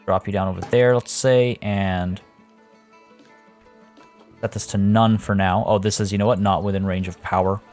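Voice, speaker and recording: Low-voiced man chatting through gameplay. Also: fairly even intonation, moderate pace, pauses often, darkish tone, faint music behind. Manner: rambling, self-correcting, chatty with viewers. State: losing his voice. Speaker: let's play host. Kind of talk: casual gameplay commentary.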